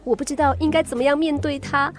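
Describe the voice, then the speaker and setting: A female actor plays a teenage daughter in a radio drama. She sounds headstrong and troubled, with a Singaporean accent. Her voice is pitched high. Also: steady tone, fast, lightly acted. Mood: panicked. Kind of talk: Mandarin radio drama acting.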